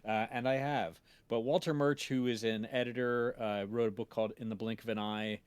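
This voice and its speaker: A man talking as a teacher on a livestream, explaining informally in a halting, digressive way. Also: mid-range voice, steady tone, clear, resonant tone, no echo. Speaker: sound design teacher.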